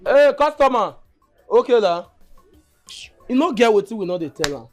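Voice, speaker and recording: A man with a Nigerian Pidgin accent playing a gossiping young male friend who talks in an animated way. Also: very high-pitched, moderate pace, soft background music. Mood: gossipy.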